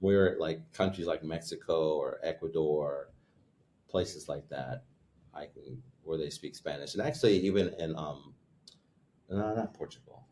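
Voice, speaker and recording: Very deep-voiced man telling a story. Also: unhurried, mellow. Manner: rambling, searches for words, self-corrects. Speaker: guest, wine retreat co-host. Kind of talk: casual chat, storytelling.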